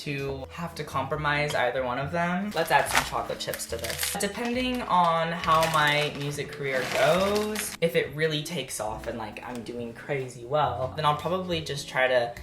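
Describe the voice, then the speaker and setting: A man's voice: a little high, normal speed, hardly any pauses. Rambling teen guy in an echoey kitchen. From United States. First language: Japanese. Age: late teens. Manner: rambling, carefully articulated words. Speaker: YouTuber. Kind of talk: casual baking vlog chat. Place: home kitchen.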